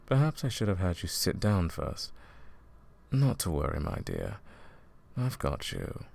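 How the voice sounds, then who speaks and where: A man playing a smug, scheming royal advisor and sorcerer in a fantasy audio roleplay, silky and condescending, with a gravelly voice. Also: low voice, wildly swooping pitch, darkish tone, exaggerated voice. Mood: softly soothing. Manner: silky, condescending, theatrical, drawn-out menacing phrasing. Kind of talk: fantasy audio roleplay, intimate villain monologue.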